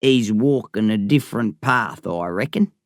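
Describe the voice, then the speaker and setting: A man voicing a wry, plain-spoken old man in an audiobook, with a slow folksy drawl. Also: medium pitch, animated, dry recording, clean studio sound. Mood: wry, steady. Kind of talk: audiobook character voice.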